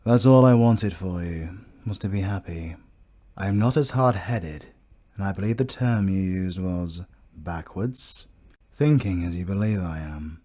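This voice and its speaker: A British man playing a proud, courteous army captain in a fantasy audio roleplay, speaking formally and with measured care. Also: low-pitched, mellow. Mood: earnest, wistful. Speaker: voice actor.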